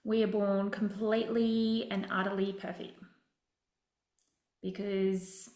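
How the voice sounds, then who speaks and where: A woman leading a guided relaxation session as a wellness coach, speaking in deliberate, instructive phrases with frequent pauses. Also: medium pitch, flat delivery, darkish tone. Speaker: meditation and wellness session leader. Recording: roomy echo with music behind.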